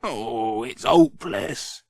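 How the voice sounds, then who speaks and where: A storyteller voicing a downbeat, plain-spoken farmer who talks low and grumbly. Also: expressive, slow, gravelly, darkish tone, slightly rough, dry recording, full character voice. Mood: glum.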